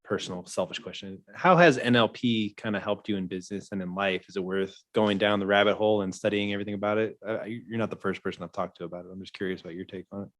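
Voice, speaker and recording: A man with a very deep voice hosts an interview, asking questions in a curious, friendly way. His voice sounds dry and close, with no room around it. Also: steady tone, quick pace, few pauses, no room sound. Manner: friendly, curious, frequent affirmations. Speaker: podcast host.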